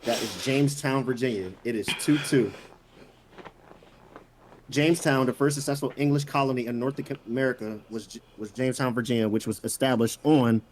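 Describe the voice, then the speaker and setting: Man reading quiz options slowly. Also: mid-range voice, a little mellow, slight background noise, low-quality recording. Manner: reads answer options slowly, teases players. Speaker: quiz host. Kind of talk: reading quiz questions on a casual online show.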